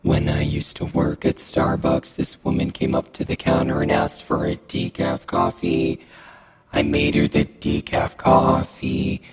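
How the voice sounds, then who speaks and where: A person telling a personal anecdote through a pitch-lowering voice effect, with music behind. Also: flat delivery, unhurried, creaky, background noise. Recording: music over processed voice.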